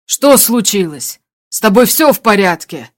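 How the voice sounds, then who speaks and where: A woman's voice: medium pitch, sing-song, measured pace. A woman voicing a concerned male crewmate who gives urgent, earnest advice over the radio in an audiobook. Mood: anxious. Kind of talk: audiobook character dialogue.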